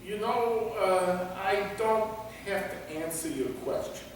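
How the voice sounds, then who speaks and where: Slow, echoey hapless guard played by a man. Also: sits high. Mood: resigned. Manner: halting, bluffing. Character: hapless, underpaid museum security guard, bluffing and resigned. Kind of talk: staged play reading. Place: theater, mic at a distance. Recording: very echoey, distant, faint noise.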